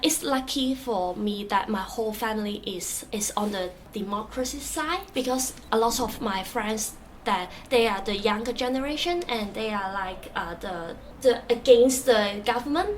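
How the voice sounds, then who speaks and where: A woman's voice: fairly high pitch, animated, moderate pace, a little hiss or hum. Hesitant Hong Kong-accented young woman. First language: Cantonese. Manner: hesitant, many fillers and restarts, soft-spoken. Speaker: interviewee. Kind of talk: personal storytelling, answering questions.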